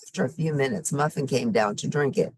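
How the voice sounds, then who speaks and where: A woman reading a children's story expressively, with simple, deliberate phrasing for young listeners. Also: a bass voice, a little mellow, husky, no echo. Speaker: storybook reader.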